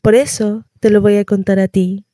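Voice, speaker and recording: A woman performing a dramatic monologue as a devoted mother counselling her daughter, with deliberate pauses. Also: mid-range voice, little pitch movement, dark tone, no echo, natural voice. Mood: tender, earnest. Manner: slow, deliberate, pausing delivery. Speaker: actress.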